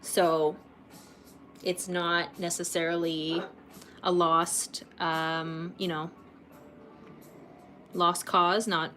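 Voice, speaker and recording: A woman's voice: on the low side, little pitch movement, extremely slow, long pauses, fairly bright tone, faint music behind. Chatty Canadian woman livestreaming art. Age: late 20s. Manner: chatty, rambling, laughs often, many ums. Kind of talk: casual livestream chat.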